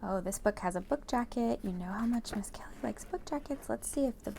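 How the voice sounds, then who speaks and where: An American woman hosting a children's read-aloud, explaining and reading in an animated way, speaking directly to young listeners. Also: fairly high pitch, a little fast, gravelly, a little hiss or hum.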